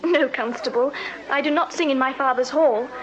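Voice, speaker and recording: An actress as a high-voiced, poised young Victorian singer. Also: female voice, moderate pace, lightly acted. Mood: brisk, formal. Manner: formal, composed, forthright. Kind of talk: radio drama acting. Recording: muffled radio drama, crowd behind.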